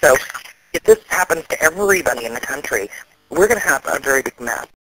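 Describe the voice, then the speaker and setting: A man, an activist, explaining things in a serious phone conversation, often halting and restarting. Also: mid-range voice, animated, a bit bright, dry recording, rough recording.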